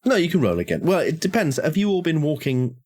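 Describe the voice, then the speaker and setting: A man's voice: a little high, sing-song, fast, darkish tone. A man narrating a tabletop horror game as game master, hesitant with many restarts.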